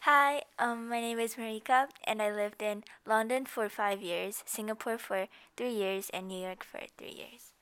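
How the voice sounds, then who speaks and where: A woman's voice: a little high, steady tone, moderate pace, few pauses, a bit bright, smooth. A woman whose first language is Japanese, chatting casually in English as a podcast guest, laughing often and using loose fillers. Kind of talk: casual chat.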